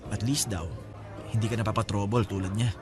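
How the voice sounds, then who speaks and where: A man acts a breezy older brother in a radio drama. His voice is on the low side, casual and frank, with a teasing, talkative manner over clearly audible music. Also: steady tone, fairly quick, close to an everyday voice. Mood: candid, casual. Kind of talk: Filipino radio-style drama dialogue.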